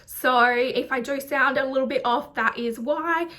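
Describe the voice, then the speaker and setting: A high-voiced woman chats through a casual product review vlog, with plenty of ums and likes. She sounds slightly nasal from a recent chest infection. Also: measured pace, bright, forceful tone. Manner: chatty, lots of ums and likes. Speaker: beauty vlogger.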